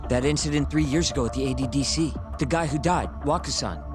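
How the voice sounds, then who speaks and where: A man's voice: mid-range voice, fast, lightly acted. A man playing a laid-back, flippant young sleuth in an English-language video game, casual and wry. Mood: curious. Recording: music behind the voice.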